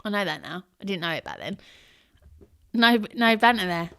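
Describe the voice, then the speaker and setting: A woman's voice: mid-range voice, lively intonation, moderate pace, a bit bright. A woman co-hosting a podcast, telling stories about herself in a chatty, self-mocking way. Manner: chatty, self-mocking storyteller who laughs often. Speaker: podcast co-host. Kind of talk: casual chat.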